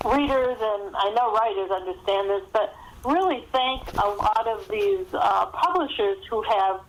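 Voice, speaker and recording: Female guest author, mid-pitched, chatting in interview style down a phone line. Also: lively intonation, measured pace, a little hiss or hum. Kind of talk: conversational interview answers. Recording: thin phone-line sound.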